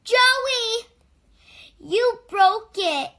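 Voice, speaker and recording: A woman's voice: extremely high pitch, expressive, very slow, sharp tone, voice pushed up, full character voice. A woman voicing a young boy mackerel in a children's storybook, an earnest, plain-spoken best friend. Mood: upset.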